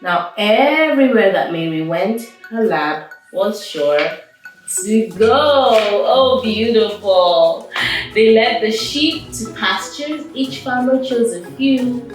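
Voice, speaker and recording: Female children's story reader, high lilting voice, unhurried, enthusiastic, drawing out key words. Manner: expressive, enthusiastic, drawn-out emphasis. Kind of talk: animated read-aloud with chatty asides to children. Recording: very echoey room, distant mic, music behind.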